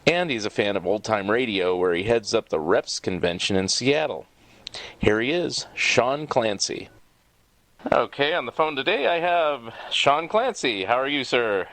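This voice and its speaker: Male podcast host, fifties, mid-pitched, very dry recording, announcing and interviewing. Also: animated, unhurried, fairly bright tone, no echo. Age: 50s.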